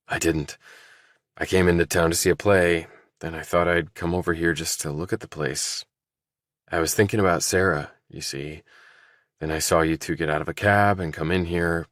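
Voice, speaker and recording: Deep-voiced, guarded young man, read by a male narrator. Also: fairly even intonation, normal speed, a bit bright, no room sound, clean studio sound. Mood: earnest. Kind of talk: audiobook character dialogue.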